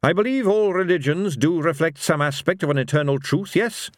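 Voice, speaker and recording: Male reader as sincere upright man, polite, precise, literal-minded, raised pitch, storybook hero. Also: animated, a little fast, no room sound, studio quality, lightly acted. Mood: earnest.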